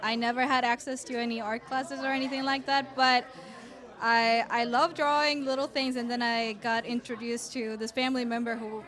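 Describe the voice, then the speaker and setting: High-voiced woman from Costa Rica. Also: flat delivery, normal speed, fairly bright tone. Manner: enthusiastic, laughs often, frequent fillers. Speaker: art teacher, interviewee. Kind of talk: casual interview chat. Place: art gallery at an art walk.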